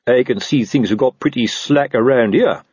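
A man's voice: mid-range voice, normal speed, a little mellow, husky, dry recording, highs cut off, voice pushed up, close to an everyday voice. A man voicing a fat, old, pompous police captain who snaps officiously and suspects everyone, in a fantasy audiobook. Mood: suspicious, snide. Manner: snapping, blustering, officious.

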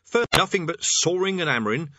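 A man's voice: on the high side, expressive, a little fast, pushed and bright, no room sound, highs cut off, voice pushed up. A man voicing a coarse, cheerfully menacing middle-aged army sergeant in a storybook reading. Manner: coarse, wheedling, keeps saying "see".

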